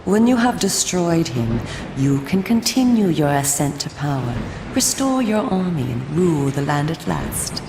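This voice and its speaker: An actress voicing a solemn, coolly persuasive female vampire resistance agent in a video game, speaking in a formal, measured, theatrical way. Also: low-pitched, very animated, reverberant, background noise, exaggerated voice. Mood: goading. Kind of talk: scripted video game cutscene acting.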